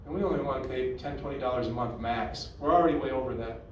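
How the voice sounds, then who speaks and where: A man's voice: mid-range voice, fast, darkish tone, a little hiss or hum. A man hosting a town hall meeting, talking in a conversational, opinionated way with frequent restarts. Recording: echoey room, far from the mic.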